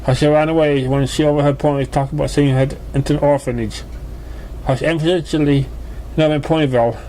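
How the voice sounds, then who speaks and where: A man narrating a scripted story as an amateur reader, halting and stumbling over words. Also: on the high side, fairly even intonation, warm tone, slight background noise, rough recording. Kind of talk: scripted fan fiction narration.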